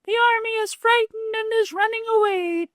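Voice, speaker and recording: A man voicing a bold, boastful little male weasel in a storybook reading, proud and brisk. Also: very high voice, fairly even intonation, fairly bright tone, no room sound, studio quality, voice pushed up. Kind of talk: storybook read-aloud.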